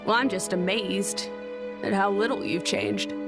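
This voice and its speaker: A woman's voice: medium pitch, measured pace, lightly acted. An actress playing a skeptical young woman warrior in a game cutscene, direct and challenging. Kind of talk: video game cutscene acting. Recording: loud wistful music behind the voice.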